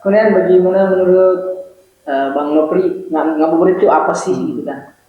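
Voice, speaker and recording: Young male host from Flores, high voice, moderates with short prompts, dull boomy recording. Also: fairly quick. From Flores, East Nusa Tenggara. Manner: prompts others with short "oke" and "hmm". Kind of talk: casual chat, moderating. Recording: echoey room, dull sound.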